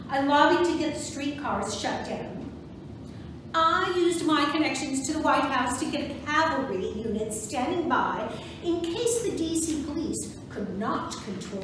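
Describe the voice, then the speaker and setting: A woman playing a proud, brisk suffragist parade organizer in an amateur reader's theatre monologue. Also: high voice. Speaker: volunteer docent performer. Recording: very echoey, distant, noisy room recording.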